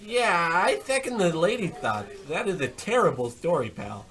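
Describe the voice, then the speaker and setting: Male actor as cocky comic radio tough guy, boastful chef, forceful bright voice, highly animated, brash, interrupting. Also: normal speed. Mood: scornful, bragging. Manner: brash, bragging, interrupting, calls people pal. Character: cocky, boastful tough diner chef and werewolf war veteran. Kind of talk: comedic old-time radio play acting.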